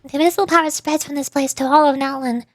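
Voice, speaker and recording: A playful, expressive woman, commentating on a video game livestream as a vtuber. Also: high-pitched, fast talker, dry recording. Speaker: vtuber streamer. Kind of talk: game livestream commentary, reading game text aloud. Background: game music.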